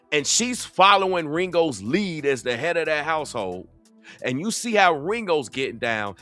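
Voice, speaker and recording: A man's voice: mid-range voice, bright, forceful tone. A man hosting a reaction video, giving heated, rhetorical commentary in a strong dialect.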